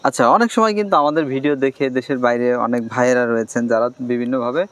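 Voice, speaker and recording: A man's voice: medium pitch, lively intonation, rapid-fire, clean tone. A man with a Bangladeshi accent, interviewing a guest in a conversational style.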